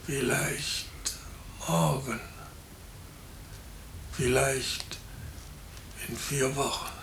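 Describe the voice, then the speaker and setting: An actor playing an anxious, resigned hospital patient in a 1950s radio drama, speaking in a hushed, halting, gravelly voice. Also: male voice, fairly high pitch, heavily acted. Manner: halting, brooding. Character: seriously ill male hospital patient, anxious, resigned, bitter. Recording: echoey, faintly noisy old radio recording.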